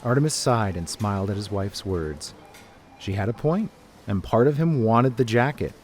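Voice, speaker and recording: A man's voice: fairly low pitch, lively intonation, normal speed, darkish tone. A man reading scripted story narration as the narrator of an audio drama. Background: music.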